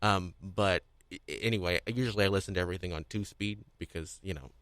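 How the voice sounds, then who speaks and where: A man with a fairly low voice hosts a casual podcast chat. He circles back over words and restarts his phrases, and the sound is close and dry. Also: a bit bright, smooth, no echo. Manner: halting, repeats words and restarts often. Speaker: podcast host. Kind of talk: casual panel discussion.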